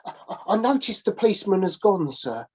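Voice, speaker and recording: A woman's voice: mid-range voice, big pitch swings, a little fast, a little mellow, breathy edge, pitched above the performer's own voice. A woman voicing a shifty male sailor who talks like a wheedling salesman. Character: shifty male sailor hawking his own drowning.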